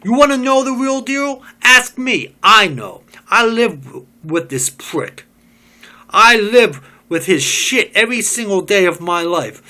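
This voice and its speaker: A man's voice: on the high side, big pitch swings, measured pace, pushed and bright, pitched above the performer's own voice, heavily acted. A man reading the part of a bitter, resentful young man who rants with curses. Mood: scornful. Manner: scornful, cursing rant. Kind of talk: amateur script reading.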